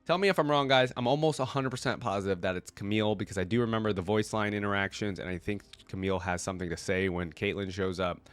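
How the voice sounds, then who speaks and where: A man congested with a cold, reading stories aloud and reacting to them casually, thinking out loud to his viewers. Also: fairly low pitch, fast, hardly any pauses, smooth, no echo, faint music behind. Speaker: reactor reading game lore aloud and commenting. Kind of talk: casual reaction commentary mixed with story reading.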